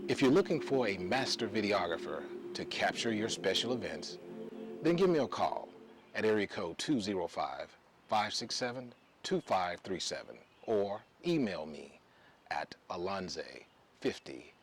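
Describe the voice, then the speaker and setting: A man with a fairly low voice delivers a scripted promotional narration, pitching his services as a videographer. Clear background noise sits behind the voice. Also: very animated, a little slow. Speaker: videographer and editor introducing own work. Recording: noisy background.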